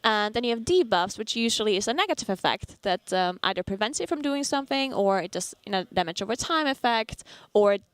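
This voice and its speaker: A woman's voice: mid-range voice, animated, fairly quick, hardly any pauses, clean tone, no echo. A woman from northern Italy whose first language is German, chatting casually on a stream and explaining game terms with lots of fillers. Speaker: stream caster. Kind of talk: casual chat.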